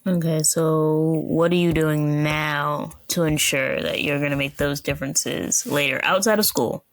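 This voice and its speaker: A woman in her twenties hosting a podcast, chatting in a relaxed, agreeable way and asking probing questions. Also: low voice, measured pace. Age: 20s. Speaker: podcast host. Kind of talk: casual interview chat.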